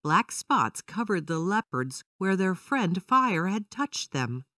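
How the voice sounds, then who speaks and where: Female children's storyteller: scripted narration, animated intonation, dry sound, a little distant from the mic. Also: on the low side, slow, no room sound.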